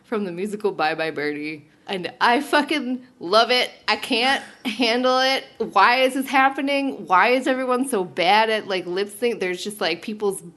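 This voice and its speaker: A woman's voice: mid-range voice, lively intonation, normal speed, few pauses, a bit bright. A woman co-hosting a podcast, chatting casually about a TV show in a sweary, self-mocking, nerdy way. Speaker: podcast co-host. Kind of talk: casual chat recapping a TV show.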